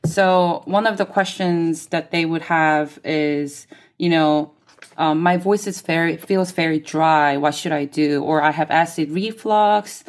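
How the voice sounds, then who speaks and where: A woman's voice: sits low, fairly even intonation, a little slow. A woman who is a singer and a native Korean speaker, explaining her craft thoughtfully in an interview, with frequent ums. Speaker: guest singer. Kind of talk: interview conversation.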